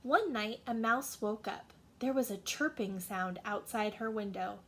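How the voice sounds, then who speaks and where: A woman's voice: medium pitch, measured pace, a bit bright, slightly rough. A woman narrating a children's storybook as a teacher reading to young listeners.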